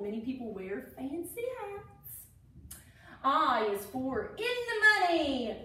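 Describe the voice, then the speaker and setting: Female children's story reader: high pitch, expressive delivery, heavy room echo. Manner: animated, expressive, addressing children. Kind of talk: read-aloud for children with explanatory asides. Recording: echoey room, distant mic.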